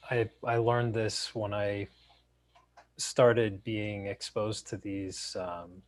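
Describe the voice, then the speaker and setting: Man, meditation teacher, slightly raspy, fairly low pitch, very slow, halting and reflective. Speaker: session co-host, meditation teacher.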